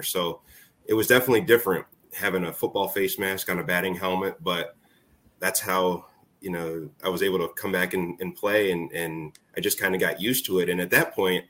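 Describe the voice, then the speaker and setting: A man's voice: low-pitched, steady tone, fairly quick, fairly bright tone, slight background noise. A man in his forties, a former ballplayer, speaking casually as an interview guest and telling stories. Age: 40s. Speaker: former college baseball player, interview guest.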